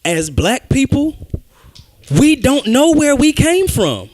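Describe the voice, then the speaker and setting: A young man with a notably high voice argues his point with energy. He is emphatic and animated, and jumps in over the others in a casual podcast debate. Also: slow, slight background noise. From United States. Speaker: podcast co-host.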